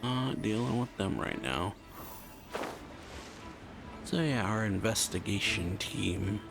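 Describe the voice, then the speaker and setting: A man's voice: fairly low pitch, slow pace, vocal fry. A man giving casual, laid-back play-along game commentary in short muttered asides. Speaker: YouTube game streamer reacting. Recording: game music behind voice.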